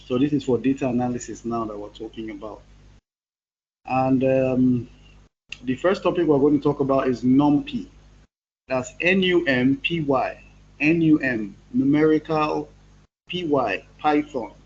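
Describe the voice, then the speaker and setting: A man's voice: medium pitch, a little slow, muffled recording, a little hiss or hum. Male instructor with a cold. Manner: patient, spells out terms step by step. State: has a cold. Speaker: programming instructor. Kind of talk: live online class.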